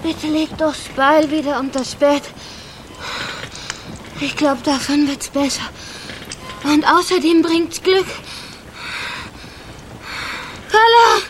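A woman's voice: high voice, background noise. An actress playing a timid, poor young pregnant woman in a radio drama, pleading plaintively through labour. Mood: anguished, urgent. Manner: slow, plaintive pleading with groans.

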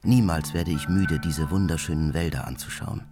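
Reclusive hermit, extremely low voice, measured diary monologue, radio drama, contemplative, loud music underneath. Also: male voice. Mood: wistful, enchanted. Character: solitary male hermit reading his reflective nature diary. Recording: studio voice under prominent music. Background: prominent music with mallet percussion.

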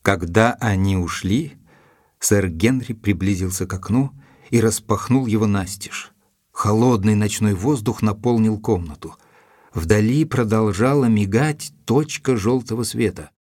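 Calm gentleman telling his adventure, kinda low voice, no room echo, audio drama narration. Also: unhurried, clean studio sound. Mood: composed narrating. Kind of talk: scripted first-person audio drama narration.

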